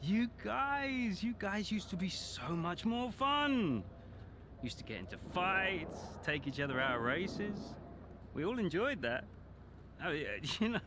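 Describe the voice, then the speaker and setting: An actor playing a smug, needling rival racing driver in a video game, falsely matey and mocking, with a drawling delivery. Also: male voice, fairly high pitch, bright, forceful tone, slight background noise, heavily acted. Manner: mocking, drawling, faux-innocent. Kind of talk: animated video game cutscene acting.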